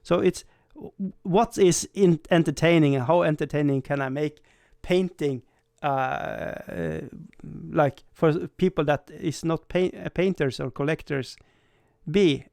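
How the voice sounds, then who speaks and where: A man's voice: a little high, a little slow, creaky, a little mellow, no room sound. Rambling man, dry close sound. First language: Swedish. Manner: rambling, self-deprecating, frequent stammered restarts and laughs. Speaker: livestream host. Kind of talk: casual livestream chat.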